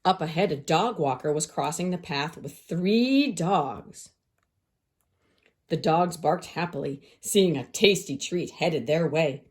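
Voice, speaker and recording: A woman teacher narrating a children's story aloud, expressive and carefully phrased for young listeners. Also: low-pitched, takes it slowly.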